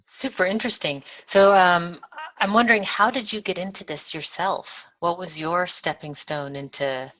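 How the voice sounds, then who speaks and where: A woman's voice: on the low side, normal speed, hardly any pauses, a bit bright, dull audio. A woman asking conversational questions as an interviewer.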